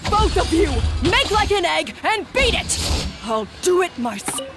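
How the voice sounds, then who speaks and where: An actress voicing a bossy, short-tempered female head chef who scolds her helpers, heard over loud game music. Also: extremely high pitch, sing-song, measured pace, heavily acted. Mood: furious. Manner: scolding, shouting.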